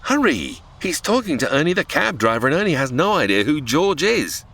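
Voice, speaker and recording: Man playing an exasperated, bright-voiced senior angel. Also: on the high side, very animated, heavily acted. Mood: instructing. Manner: firm, brisk, supervising. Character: exasperated senior male angel giving orders. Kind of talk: comedy audio drama acting.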